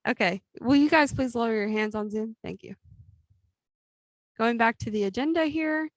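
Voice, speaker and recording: A young woman chairing a student government meeting, running through the agenda in a brisk, lightly amused way. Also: fairly high pitch, dry recording. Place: meeting with a Zoom link.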